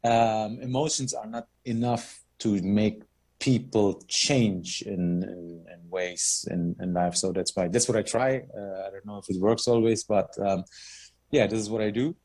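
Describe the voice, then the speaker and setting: A man's voice: lowish voice, fairly even intonation, unhurried, a little mellow. A man, a filmmaker answering in an online Q&A, thoughtful and searching for words. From Germany. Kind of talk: casual interview answer. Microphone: video call.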